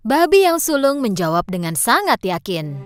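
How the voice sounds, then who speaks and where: A woman's voice: a little high, big pitch swings, normal speed. A woman narrating a scripted children's story as the storyteller.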